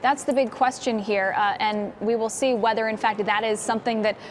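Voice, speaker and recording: Higher-voiced American woman news correspondent. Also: steady tone, fairly quick, a little hiss or hum. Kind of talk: live TV news report.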